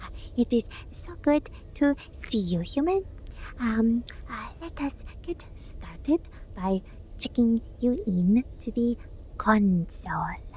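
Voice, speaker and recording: A woman performing a gentle ASMR roleplay as a friendly alien medic, often whispering, halting and deliberate, referring to herself in the third person. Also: a little high, lively intonation, slight background noise.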